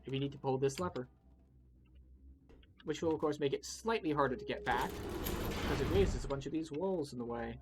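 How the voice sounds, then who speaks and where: A man's voice: medium pitch, brisk, long pauses, background noise. A man giving casual, wry, explanatory commentary as he guides a video game playthrough. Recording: game music behind the voice. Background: video game music.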